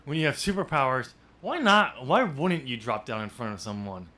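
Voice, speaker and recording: A man hosting a podcast in casual, unscripted discussion, talking in a halting, rambling way as he thinks aloud. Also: mid-range voice, big pitch swings, pushed and bright. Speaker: podcast host and amateur novelist.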